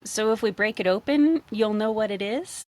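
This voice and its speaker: A woman's voice: medium pitch, normal speed, a little mellow, no room sound, lightly acted. A woman reading the part of a sceptical young female shopkeeper in a fantasy story, wry and questioning.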